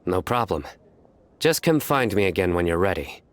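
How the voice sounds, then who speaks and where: Low, cool, composed young man. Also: very animated, moderate pace, slight background noise. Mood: composed, confident. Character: cool, composed, earnest young male card player. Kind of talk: video game character dialogue.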